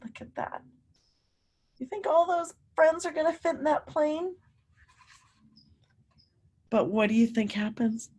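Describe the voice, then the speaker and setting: A woman teacher reading a picture book aloud to children, pausing to ask them simple, encouraging questions. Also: high-pitched, animated, a little mellow.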